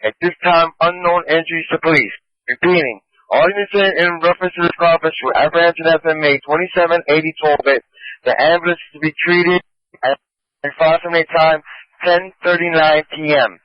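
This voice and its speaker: A man's voice: fairly high pitch, fairly even intonation, a little fast, no room sound, lo-fi sound. A male police radio dispatcher repeating terse orders to all units.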